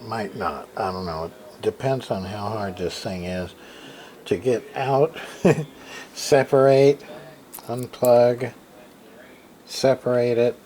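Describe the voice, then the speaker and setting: A man's voice: mid-range voice, fairly even intonation, takes it slowly, long pauses, warm tone, a little hiss or hum. A tired man casually showing off an old radio at his home workshop desk, thinking aloud with many fillers and restarts. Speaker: hobbyist radio repairer. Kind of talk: casual workbench show-and-tell.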